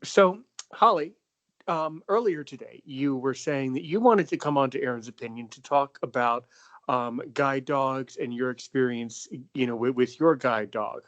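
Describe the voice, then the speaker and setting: A man's voice: mid-range voice, moderate pace. A young man hosting a podcast interview, talking casually with many fillers and restarts. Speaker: podcast host.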